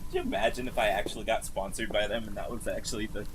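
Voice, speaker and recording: Excitable streamer with swooping pitch. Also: fast talker, creaky, noisy background. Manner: excitable, sweary, jokey. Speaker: streamer reacting to videos. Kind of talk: casual live reaction commentary.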